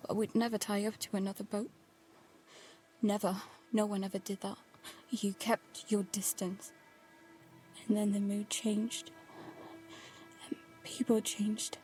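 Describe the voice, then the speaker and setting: Anxious teenage girl narrating, fairly even tone played by a woman. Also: mid-range voice, unhurried, pauses often, soft background music, close to an everyday voice. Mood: uneasy, hushed. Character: anxious, stubborn teenage daughter narrating life aboard a boat. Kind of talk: audio drama acting with first-person narration.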